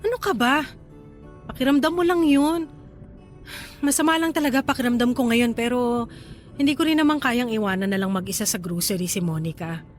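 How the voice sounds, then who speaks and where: A woman's voice: a little high, fairly quick, long pauses, soft background music, natural voice. An actress playing an anxious, evasive woman in a radio drama, answering hesitantly and deflecting questions. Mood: defensive. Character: evasive, anxious woman hiding pregnancy from partner. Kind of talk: Tagalog radio drama acting.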